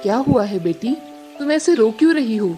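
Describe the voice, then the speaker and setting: A woman voicing a gentle, motherly magical cow in a moral-story cartoon. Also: a little high, lively intonation, normal speed, faint music behind, close to an everyday voice. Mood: kind, reassuring.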